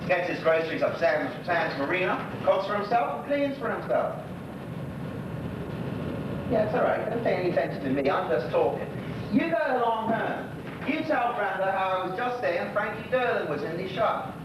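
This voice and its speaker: An actor playing a lonely, gossipy old widower with a Bermudian accent, rambling through neighbourhood memories in a folksy stage monologue. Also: male voice, expressive, normal speed, dull audio. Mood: gossipy, chatty. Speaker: actor in a one-act monologue. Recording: echoey hall from far off, noisy, rumbling.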